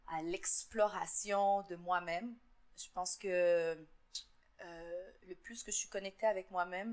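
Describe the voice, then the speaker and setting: A woman from Montreal with a Québécois accent, answering interview questions in an earnest, reflective way, often pausing with fillers and repeating words. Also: mid-range voice. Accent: Québécois French. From Montreal, Quebec. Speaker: interviewee, entrepreneur.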